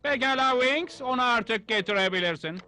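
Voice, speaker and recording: A man voicing a kindly, excitable inventor professor in a dubbed cartoon, flustered and given to hesitant exclamations. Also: very high voice, moderate pace, soft background music, exaggerated voice. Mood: proud, excited.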